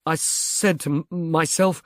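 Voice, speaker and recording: A man's voice: a little high, fairly even intonation, takes it slowly, voice pushed up. A man voicing an eager, dim-witted grown son who stammers heavily and blunders on earnestly in an audiobook. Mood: anxious, helpful. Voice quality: heavy stammer.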